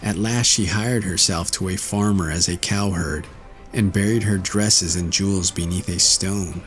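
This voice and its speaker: A man reading a classic fairy tale as an audiobook narrator, in a measured storytelling manner. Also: low voice, steady tone, soft background music.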